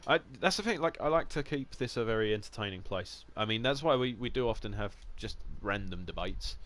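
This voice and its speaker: Chatty Essex man with a low voice. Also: lively intonation, a little fast, a bit bright. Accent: Essex English. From Essex, England. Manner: chatty, jokey, rambling with restarts. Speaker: gaming streamer. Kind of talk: casual live-stream commentary.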